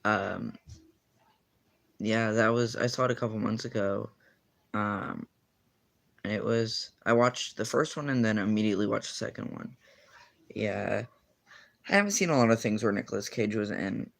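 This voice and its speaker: A man's voice: fairly low pitch, moderate pace, creaky, long pauses, fairly bright tone. Rambling young male streamer. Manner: rambling, filler-heavy, self-correcting. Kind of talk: casual livestream chat reading comments.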